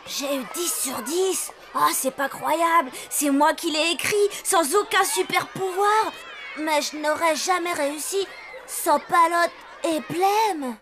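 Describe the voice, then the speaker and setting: Cartoon boy: very high voice, earnest and excitable, expressive, music underneath. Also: slow. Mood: amazed, happy. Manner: expressive, childlike. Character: earnest young human boy, friend of zombie children, excitable. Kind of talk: French animated cartoon dubbing.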